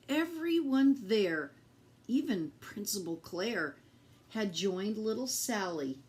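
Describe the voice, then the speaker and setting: A woman reading a picture book aloud to children in an expressive, deliberate teacherly way, speaking directly to her young listeners. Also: on the high side.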